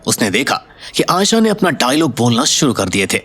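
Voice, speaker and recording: A man's voice: mid-range voice, sing-song, moderate pace, a bit bright, breathy edge. A man narrating a scripted Hindi audio story in a dramatic style.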